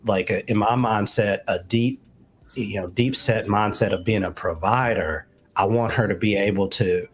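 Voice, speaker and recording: A man's voice: fairly low pitch, moderate pace, a little hiss or hum. A man speaking as a talk show guest on relationships, explaining his views in an informal, conversational way with asides.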